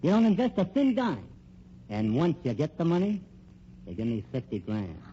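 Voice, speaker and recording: A dull, faintly noisy old radio recording carries a slick American private eye on the make. His pitch swings widely as he pushes and wisecracks in tough-guy slang, with menace under the patter. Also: sing-song, normal speed, mellow. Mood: pushy, scheming. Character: slick, menacing male private detective and con man. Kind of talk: old-time radio crime drama acting.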